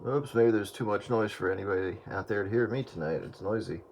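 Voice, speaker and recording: A man's voice: fairly low pitch, brisk. A man making casual chatter as an operator on a two-way radio. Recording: noisy two-way radio with heavy static.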